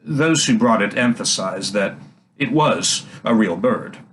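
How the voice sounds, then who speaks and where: A man reading a fairy tale aloud for young children as a storyteller, in an animated style. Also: lowish voice, measured pace, poor audio. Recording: faint background noise.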